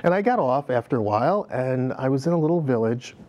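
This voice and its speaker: Middle-aged man telling stories, relaxed. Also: mid-range voice, fast, lo-fi sound. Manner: reflective storyteller, many fillers, laughs easily. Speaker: guest, dance director. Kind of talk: conversational interview. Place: TV studio set.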